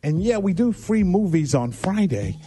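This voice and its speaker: A man's voice: sits high, very animated, normal speed, mellow, slightly rough, faint music behind. An American man hosting radio with upbeat, chatty DJ talk and conversational asides, speaking on a studio microphone. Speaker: radio host.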